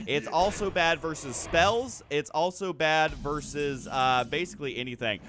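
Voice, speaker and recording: A man's voice: a little high, normal speed, a bit bright, a little hiss or hum. A man giving casual, self-deprecating commentary over gameplay as a video host, prone to joking exaggeration. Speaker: host of a gaming video channel.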